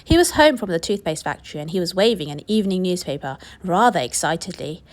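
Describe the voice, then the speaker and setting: Expressive woman reading a children's book. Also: lowish voice, fast. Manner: clear, expressive storytelling. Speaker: teacher reading aloud. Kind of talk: children's book read-aloud.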